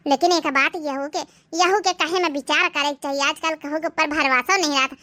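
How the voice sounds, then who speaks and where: Very shrill, dramatic cartoon housewife. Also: female voice, steady tone, fairly quick, sharp tone. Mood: trusting, uneasy. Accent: Awadhi. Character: dramatic Awadhi village housewife, gossipy and suspicious. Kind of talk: Awadhi cartoon comedy dialogue.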